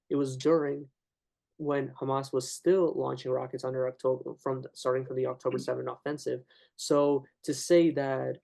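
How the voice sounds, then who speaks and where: Man, young adult, gravelly, mid-pitched, halting casual debate. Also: a little mellow. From Ukraine. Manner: halting, many restarts and fillers. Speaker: interviewer.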